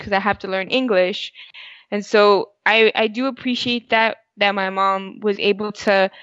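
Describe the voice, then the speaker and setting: A woman whose first language is Spanish talks through her thoughts, pausing and restarting. The audio is dry and somewhat muffled. Her pitch sits in the middle. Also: a bit bright, dry recording. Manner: reflective, with frequent restarts. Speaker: co-host. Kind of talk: casual podcast conversation. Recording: dull, dry podcast audio.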